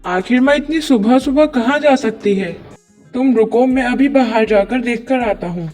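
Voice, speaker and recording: A woman's voice: fairly high pitch, moderate pace, music in the background, close to an everyday voice. A woman voicing a loving, dutiful young man in a cartoon moral story, speaking earnestly. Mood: reassuring. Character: young adult son, loving, dutiful, dismayed by poverty.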